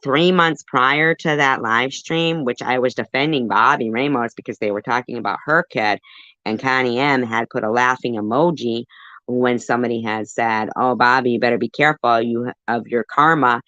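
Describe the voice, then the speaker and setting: A woman's voice: very deep voice, normal speed, a bit bright, no room sound. A woman hosting a commentary stream, talking in a defensive, rambling way with lots of fillers. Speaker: commentary channel host. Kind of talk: livestream commentary. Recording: dull dry lo-fi audio.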